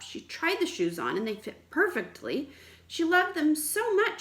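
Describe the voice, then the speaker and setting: Expressive woman teacher in an echoey room. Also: a little high. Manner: expressive, questioning, explanatory. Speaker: teacher reading aloud to children. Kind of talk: children's read-aloud with questions and explanations.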